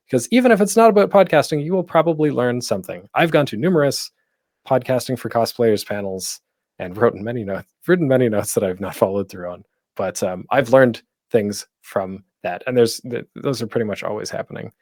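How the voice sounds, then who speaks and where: A man's voice: mid-range voice, brisk, darkish tone, no room sound, clean studio sound. A man giving an informal presentation as a host, conversational and thinking aloud as he goes. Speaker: panel host and podcaster giving tips. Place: at home, online panel.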